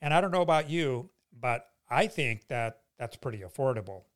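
A man's voice: mid-range voice, lively intonation, moderate pace. A middle-aged man with an American accent hosting a podcast, explaining products in a conversational, folksy, salesy way. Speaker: podcast host, company founder.

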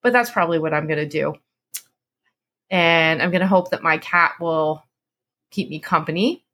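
A woman podcast host who reads stories dramatically and chats casually. Her voice is somewhat low for a woman, with a bright, crisp, forceful edge. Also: normal speed. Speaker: podcast host and story reader. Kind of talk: dramatic story narration and casual podcast chat.

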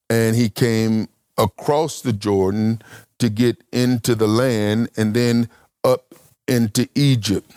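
Slow, deliberate male Bible teacher. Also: medium pitch, a little mellow, dry recording, studio quality. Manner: slow, questioning, thinks aloud in broken phrases. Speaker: preacher teaching a congregation.

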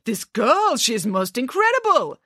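A woman's voice: mid-range voice, big pitch swings, fairly quick, fairly bright tone, no room sound, studio quality, voice pushed up. A woman voicing a pompous, indignant French male museum director in a melodramatic, sarcastic manner for a story. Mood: scornful.

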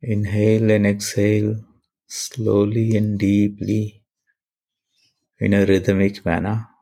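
A man leading a guided meditation and prayer in measured, deliberate short phrases with pauses between them. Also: on the low side, monotone, gravelly, mellow.

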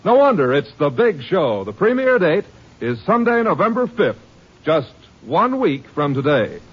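A male promo announcer from 1950s radio, pitched fairly high and swinging widely in pitch. His voice is husky, on a dull old broadcast. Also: very animated, a little slow.

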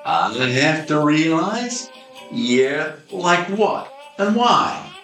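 Casting: a man playing a goofy, bumbling detective, lively eager voice, amateur radio play, roomy room with music under. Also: on the high side, extremely slow, exaggerated voice. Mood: excited. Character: adult male supernatural detective, bumbling and easily impressed.

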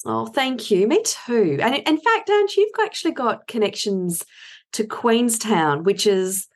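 A middle-aged woman hosting a casual podcast interview, chatty and quick to chime in with agreement. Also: fairly high pitch, big pitch swings. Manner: chatty, frequent affirming interjections. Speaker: podcast host.

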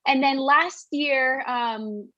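A woman's voice: high voice, very slow, no echo, dull audio. A woman in her thirties from Venezuela, a physical therapist talking through a video call in a chatty way, full of fillers and restarts. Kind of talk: casual video-call interview.

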